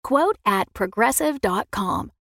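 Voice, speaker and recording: A woman's voice: medium pitch, lively intonation, slow pace, creaky, a bit bright, no room sound, clean studio sound. A woman announcer giving a scripted advertisement read.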